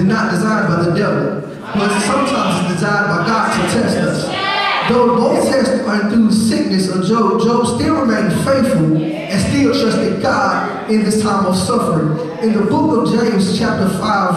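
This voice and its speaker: A young man preaching a sermon into a handheld microphone in a church sanctuary, building toward sung, shouted lines. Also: high voice. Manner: rising to a sung, shouted close. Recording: echoey church from far off. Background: congregation.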